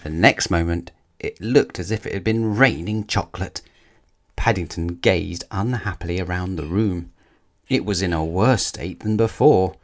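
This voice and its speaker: A man's voice: low-pitched, moderate pace. A man reading a scripted children's story aloud as the narrator.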